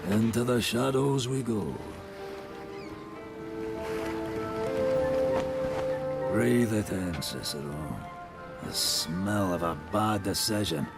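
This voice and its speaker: An actor playing a gruff, world-weary ship captain who speaks in a low, brooding way in a video game drama. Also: male voice, a little slow. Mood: grim, reproachful. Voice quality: low, gravelly. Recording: music behind the voice.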